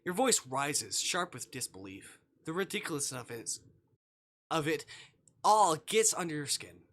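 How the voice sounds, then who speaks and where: A man's voice: fairly high pitch, big pitch swings, unhurried, a bit bright. A man live-streaming a visual novel, reading it aloud expressively and breaking into casual, sweary, exclamatory reactions.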